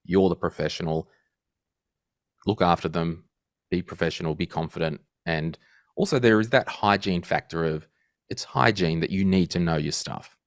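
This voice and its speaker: Guy in his 30s, very low voice, lively storyteller, dry close sound. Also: normal speed, dry recording. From Australia. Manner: animated storyteller, acts out quotes. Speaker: guest financial podcaster. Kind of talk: casual interview chat.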